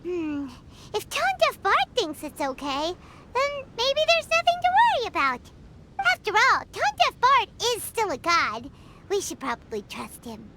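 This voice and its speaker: A woman voicing a tiny, excitable, childlike fairy companion in a video game, chirpy and bossy. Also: measured pace, noisy background, full character voice. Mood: pondering. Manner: squeaky, chirpy, exclamatory.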